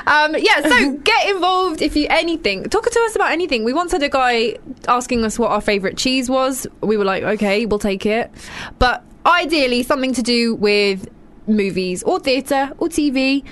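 A woman's voice: high voice, lively intonation, moderate pace, fairly bright tone. Chatty British woman hosting radio. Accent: British English. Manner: giggly, chatty and self-deprecating. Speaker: radio show host. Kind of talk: casual radio chat. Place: radio studio. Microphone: studio headset mic.

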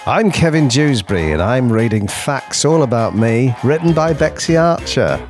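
A man's voice: medium pitch, big pitch swings, moderate pace. A man who performs sketch comedy, reading aloud in a playful comic style. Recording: music playing under the voice. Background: music.

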